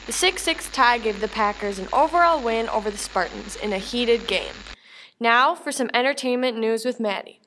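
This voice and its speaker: A woman acting as a 1930s radio newswoman with a fairly high voice reads scripted bulletins. The sound rings with reverb over faint background noise. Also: animated, moderate pace, fairly bright tone. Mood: earnest. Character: 1930s radio newswoman reporting national, sports, world news.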